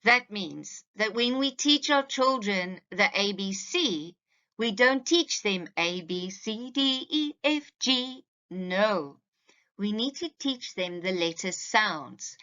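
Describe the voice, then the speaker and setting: A woman teaches in a measured, unhurried way, with clear emphasis on key points. Her voice is bright and her pitch moves a lot as she addresses parents directly. The recording is slightly dull and lo-fi. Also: lively intonation. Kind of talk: slide presentation lecture, instructional.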